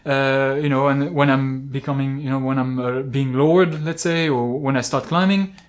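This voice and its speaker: A man talking casually as an outdoor climbing vlogger, explaining gear with examples in a halting, rambling way. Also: mid-range voice, fairly even intonation. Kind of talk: casual product-talk vlog, explaining with examples.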